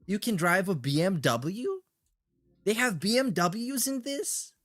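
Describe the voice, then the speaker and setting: A man's voice: high-pitched, animated, slow, fairly bright tone, dry recording, clean studio sound, soft background music. A man hosting a livestream with casual, slangy, sweary commentary and a sarcastic edge. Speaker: livestream host. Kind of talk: casual chat with shout-outs and commentary.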